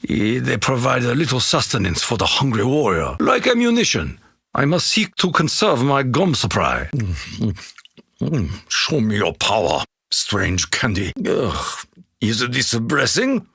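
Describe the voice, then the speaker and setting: A spiritual, honor-bound Japanese warrior for a video game. His Japanese-accented voice is rough and gravelly, with animated swings in pitch. He makes solemn, formal declarations, recorded close and dry. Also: measured pace, dry recording, exaggerated voice. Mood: puzzled, wary. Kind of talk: video game character voice lines.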